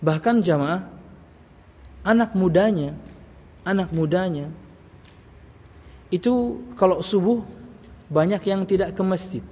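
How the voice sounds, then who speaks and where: High-voiced preacher in a reverberant hall. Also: male voice, takes it slowly, long pauses, a little mellow, slightly rough. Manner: conversational, repeats phrases and asks rhetorical questions. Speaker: Islamic preacher. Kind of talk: religious lecture to a congregation, with anecdotes. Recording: muffled, reverberant hall recording.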